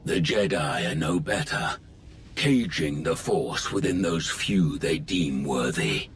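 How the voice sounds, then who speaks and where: An actor playing a menacing, grandiose Sith lord with slow, brooding oratory in a video game. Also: male voice, rumbling low, expressive, slight background noise, exaggerated voice. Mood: defiant, grandiose. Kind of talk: dramatic video game voice acting.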